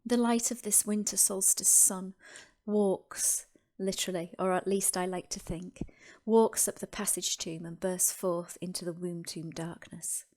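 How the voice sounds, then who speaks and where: A woman narrating a scripted guided meditation as host, with deliberate, reverent phrasing and pauses. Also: lowish voice, steady tone, darkish tone.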